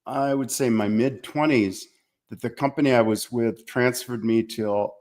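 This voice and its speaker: A man from small-town Minnesota with an American accent, recalling his life as an interview guest in a reflective, halting way. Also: medium pitch, steady tone, darkish tone. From small-town Minnesota, United States. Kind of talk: conversational personal recollection.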